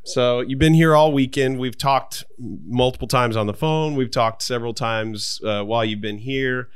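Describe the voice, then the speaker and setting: A man's voice: medium pitch, normal speed, smooth, dry recording, studio quality. A man hosting a podcast, chatting casually and rambling as he searches for words. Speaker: podcast host.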